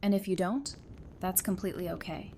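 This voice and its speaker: A woman acts a frank, loyal friend in an audio drama. Her voice is fairly low and very soft-edged, and she speaks reassuringly. There is clear rumbling noise behind her. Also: fairly quick, lightly acted. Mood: reflective, reassuring. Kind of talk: fan audio drama dialogue.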